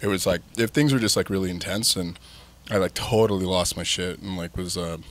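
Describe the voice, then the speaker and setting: Deep-voiced thirtysomething man, rambling candidly. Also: fairly quick. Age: 30s. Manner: rambling, heavy use of "like" and "um", candid. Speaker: band frontman, guest. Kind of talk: casual chat.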